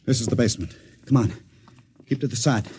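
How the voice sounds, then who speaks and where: A man playing a take-charge boss in an old radio play. His mid-pitched voice moves fast, urgent and authoritative as he gives orders. The recording is clearly noisy. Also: a little mellow, lightly acted. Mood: tense, brisk. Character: decisive, commanding boss protecting a younger subordinate. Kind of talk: 1950s radio drama acting.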